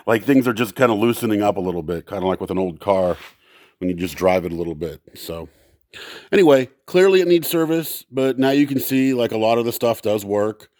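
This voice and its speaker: A man with a fairly low voice talks casually through a hands-on gear test. The sound is dry and close, with little room around it. He explains in a halting, unscripted way, circling back to correct himself. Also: lively intonation, a little mellow, no echo. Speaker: presenter testing vintage audio gear. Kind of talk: casual, unscripted demonstration talk.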